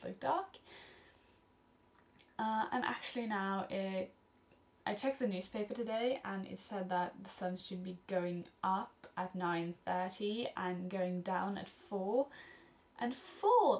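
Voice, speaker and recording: A woman's voice: medium pitch, vocal fry. A Norwegian teenage girl with a Norwegian accent, answering viewer questions in a chatty, rambling home vlog, filmed close on a camera mic. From Norway. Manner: rambling and chatty, many ums and restarts, laughs often. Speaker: studyblr vlogger answering questions. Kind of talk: casual Q&A vlog.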